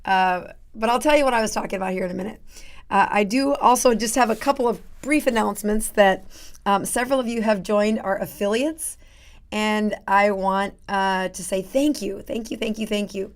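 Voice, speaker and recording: A middle-aged woman doctor with a raspy voice that is going hoarse, chatting casually as host of her live stream with many fillers. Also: mid-range voice, moderate pace. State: losing her voice. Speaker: doctor hosting a live show.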